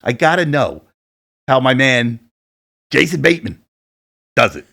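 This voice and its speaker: A man in his forties with a deep voice, co-hosting a podcast and chatting casually from his home setup, rambling a little. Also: big pitch swings, measured pace, creaky, pushed and bright. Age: 40s. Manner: chatty, rambling, self-deprecating about sound gear. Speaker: podcast co-host. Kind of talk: casual chat. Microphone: studio dynamic mic at home.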